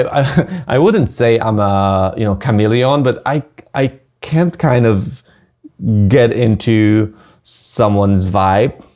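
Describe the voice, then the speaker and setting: A man's voice: medium pitch, a little mellow. A man with a Polish accent, a wedding photographer guest chatting casually, rambling with many fillers and restarts. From Poland. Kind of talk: casual interview chat.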